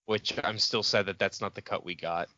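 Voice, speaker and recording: A man's voice: on the low side, fairly even intonation, moderate pace, pushed and bright, no room sound, dull audio. A man co-hosting a podcast, bantering casually about films in a wry, teasing manner. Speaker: podcast co-host.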